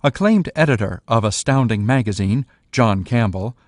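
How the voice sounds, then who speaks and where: A man's voice: fairly low pitch, animated, normal speed, no room sound, studio quality. A man reading scripted documentary narration. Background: prominent music.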